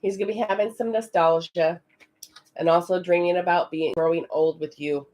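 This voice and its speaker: A woman with a raspy voice giving a live-stream psychic card reading, chatting directly with viewers in the comments. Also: lowish voice, steady tone, moderate pace, a little mellow. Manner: chatty, talks to viewers in the chat. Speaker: psychic reader.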